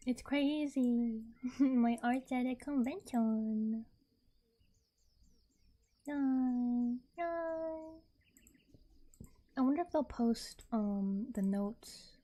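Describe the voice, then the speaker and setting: A woman's voice: fairly high pitch, drawn-out words, long pauses, dark tone. A woman chatting casually to her livestream viewers in a rambling, repetitive way. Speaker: streamer, artist and ASMR creator.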